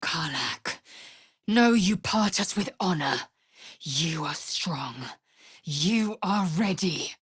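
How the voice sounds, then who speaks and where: A woman voicing a fierce, proud alien warrior woman in a video game, scornful and haughty, with clipped, formal phrasing and a husky, breathy voice. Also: fairly low pitch, expressive, slow pace, no room sound, studio quality, heavily acted. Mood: stern, imperious. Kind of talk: video game character voice lines.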